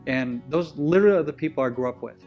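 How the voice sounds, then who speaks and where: A man's voice: a little high, quick pace, darkish tone, lo-fi sound, soft background music. A man from Israel with an Israeli accent, whose first language is Hebrew, appears as a guest and tells stories in a rambling way, often stopping to correct himself. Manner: rambling, self-correcting, with frequent restarts. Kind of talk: conversational interview storytelling.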